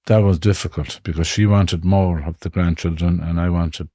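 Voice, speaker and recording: Irish man in his fifties, very deep mellow voice, reflective guest, dry close sound. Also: fairly even intonation, no room sound. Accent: Irish, Connemara. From Ireland. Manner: reflective, halting, with frequent restarts. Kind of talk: personal life story in conversation.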